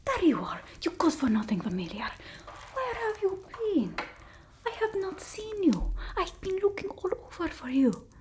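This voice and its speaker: A woman voicing a haughty centuries-old female vampire, breathy and often whispering, in an ASMR roleplay. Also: very high voice, sing-song, moderate pace, noisy background. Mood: imperious, gushing. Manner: broken old-world English, dramatic and haughty. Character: theatrical female vampire, centuries old, bored, demanding, scornful of humans.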